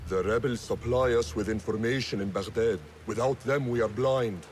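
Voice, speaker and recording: A man playing a calm, authoritative leader of secret assassins in a video game. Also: mid-range voice, normal speed, a little hiss or hum, close to an everyday voice. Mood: composed, wary. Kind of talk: cinematic game acting.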